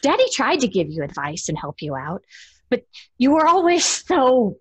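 A young woman playing a bitter, greedy daughter in a horror audio drama, halting and intense. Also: fairly high pitch, very animated, husky, no room sound. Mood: resentful. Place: small room at home, on video call.

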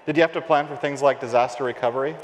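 A man's voice: medium pitch, steady tone, racing, big-room sound, a little hiss or hum. A man in his forties hosts an onstage tech interview with an upbeat, affirming manner, using a headset mic. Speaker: host. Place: large conference stage before an audience.

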